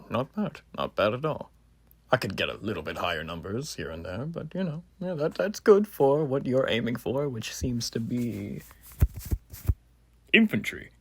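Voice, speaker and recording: An earnest revolutionary army officer, played by a man, formal and stately, with a low, husky voice. He rambles proudly and unhurriedly, rising and dropping into hushed asides as he addresses a recruit. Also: dry recording, exaggerated voice. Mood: appraising. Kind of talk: roleplay voice acting addressed to the listener.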